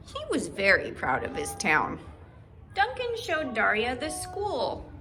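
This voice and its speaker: A woman teacher reading a children's book aloud in an expressive, deliberate storyteller style for young listeners. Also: sits high, slight background noise.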